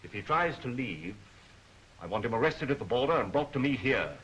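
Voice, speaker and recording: An actor playing a gloating aristocratic villain in an imperious, theatrical 1930s film style. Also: male voice, mid-range voice, expressive, fairly quick, fairly bright tone, natural voice, poor audio. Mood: menacing satisfaction. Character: gloating, villainous aristocratic official who orders persecution. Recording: 1930s film soundtrack with faint noise.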